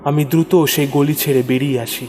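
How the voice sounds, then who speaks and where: A man's voice: on the high side, steady tone, quick pace, background noise. A man narrating a first-person horror story in a suspenseful, theatrical way, repeating phrases for dramatic effect. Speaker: narrator of horror audio stories. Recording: long reverb over prominent music. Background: music.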